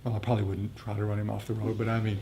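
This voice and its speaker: A man teaching meditation in a talk with questions and answers, speaking in a halting, reflective, explanatory way. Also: flat delivery, gravelly, mellow. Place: group meditation gathering. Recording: rumbly background noise.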